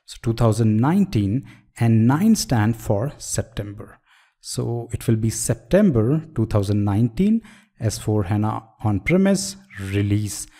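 Man, tutorial instructor; mellow voice, explains patiently, quizzes the viewer. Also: fairly low pitch, expressive, unhurried. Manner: explanatory, asks the viewer questions, frequent "so". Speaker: software trainer. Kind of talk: screen-recorded tutorial lecture.

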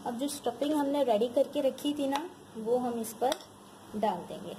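A woman with a speech hosting a home cooking tutorial, calmly walking viewers through each step in her kitchen. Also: high-pitched, moderate pace. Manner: calm, instructive, addresses viewers directly. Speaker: cooking channel host. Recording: noisy home recording with background ambience.

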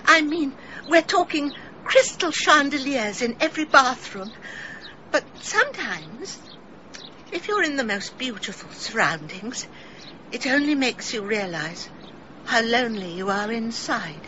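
A woman's voice: fairly high pitch, big pitch swings, measured pace, lo-fi sound, background noise. An actress playing a genteel middle-aged English village woman in a radio drama, confessing in a wistful, melodramatic, theatrical way. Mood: wistful confession. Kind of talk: British radio drama acting.

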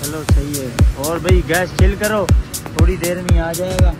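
A man's voice: on the high side, moderate pace. A man, chatting casually to his friends and viewers as he vlogs outdoors on a phone. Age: 20s. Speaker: vlogger. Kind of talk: casual vlog chat with friends. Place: outdoors at night, street and open-air restaurant. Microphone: phone at arm's length. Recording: loud electronic music over the voice.

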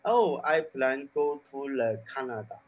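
Halting Chinese-accented man, beginner English. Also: medium pitch, animated, poor audio. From China. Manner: halting, broken English with many fillers. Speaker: English learner. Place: small room indoors. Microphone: desk mic on boom arm.

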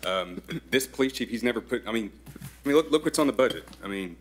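A man's voice: mid-range voice, animated, quick pace, husky. A man serving on a town board, answering a resident defensively with rambling restarts. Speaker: board member. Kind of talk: back-and-forth at a board meeting. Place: council chamber.

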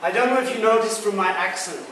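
A man's voice: high voice, quick pace, fairly bright tone, a little hiss or hum. A British-born man in his sixties giving a talk to an audience, chatty and joking with them. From Britain. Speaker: guest speaker. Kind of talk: public talk. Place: large echoey lecture room. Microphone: far camera mic. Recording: echoey room from far off.

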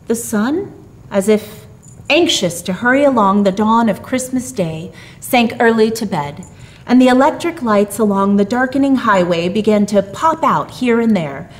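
A middle-aged woman reading a story aloud in expressive, theatrical narration with drawn-out emphasis. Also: medium pitch. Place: small dark theatre stage, indoors.

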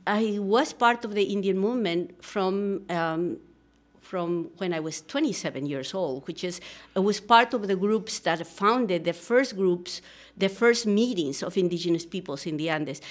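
A woman's voice: medium pitch, measured pace. A middle-aged woman from Peru with a Peruvian Spanish accent, speaking earnestly as a guest in a radio studio interview, with many fillers and restarts. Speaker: guest, social psychologist. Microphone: studio microphone, headphones.

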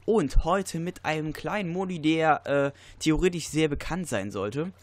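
A teenage boy with a cold, giving rambling casual commentary over a video game with many fillers and sudden excited outbursts. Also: on the high side, a little slow. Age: teens. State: stuffy, has a cold. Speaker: let's player.

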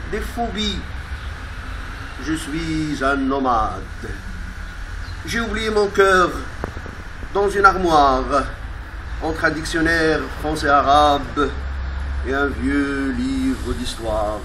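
A man with a fairly high voice recites verse very slowly and solemnly. He breaks the lines into short, measured phrases with dramatic pauses. There is some steady noise in the recording. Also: poor audio. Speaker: poetry reader. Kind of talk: slow dramatic poetry recitation.

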